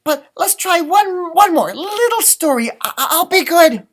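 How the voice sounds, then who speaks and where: A little red hen chick from a storybook, acted out by a man, very high and swooping in pitch. She is eager and earnest, always urgent, with a slow, drawn-out delivery. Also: lively intonation, a bit bright, exaggerated voice. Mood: pleading. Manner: bursts into urgent high shrieks and earnest pleading. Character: excitable little young female chicken, eager, interrupting.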